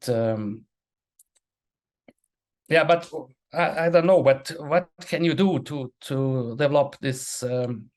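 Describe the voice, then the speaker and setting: A man, a panel guest speaking English as an in a halting way, restarting and repeating words. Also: fairly high pitch. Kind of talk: casual webinar talk.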